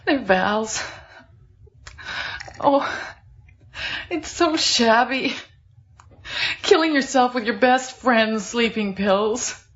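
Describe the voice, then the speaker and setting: A woman's voice: on the high side, dull audio. An actress playing a lonely, world-weary woman seeking comfort, in a melodramatic audio drama style. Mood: despairing. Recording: band-limited audio drama with music underneath.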